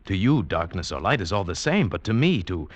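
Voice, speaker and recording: An actor playing an eager, exasperated lost traveller in a vintage radio drama. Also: male voice, sits low, big pitch swings, fairly quick, no room sound. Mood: insistent, exasperated. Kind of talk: 1940s-50s radio drama acting with first-person narration. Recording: dull vintage radio broadcast recording.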